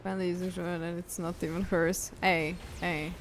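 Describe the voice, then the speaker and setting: A woman's voice: lowish voice, little pitch movement, moderate pace, background noise. A woman streaming a game, talking to herself in a loose, joking, casual way with some swearing. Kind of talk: casual livestream gaming commentary.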